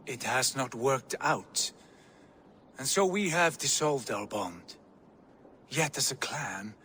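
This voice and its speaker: An actor playing a gentle, reasonable Viking nobleman in a video game, speaking in an earnest and considered manner. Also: mid-range voice, a little hiss or hum. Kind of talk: video game dialogue acting.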